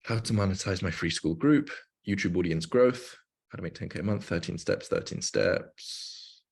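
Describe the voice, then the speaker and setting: A man's voice: low voice, normal speed, vocal fry, slightly rough. A man in his twenties hosting a livestream, coaching in an informal, explanatory way with emphatic repetition. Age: 20s. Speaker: livestream host, online business coach. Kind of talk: casual livestream talk and coaching.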